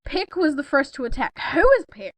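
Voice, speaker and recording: A woman's voice: high-pitched, big pitch swings, a little fast, no echo. A young woman reading a story aloud in a casual, incredulous way, breaking off into informal asides. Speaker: co-host reading fan fiction aloud. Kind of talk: casual read-aloud with mocking commentary.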